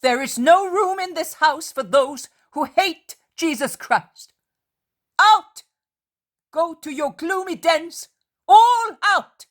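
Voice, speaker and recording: A woman's voice: high voice, pitched above the performer's own voice. A woman voicing a fierce, devout woman of faith who commands in a thundering voice. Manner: thundering, impassioned shouting.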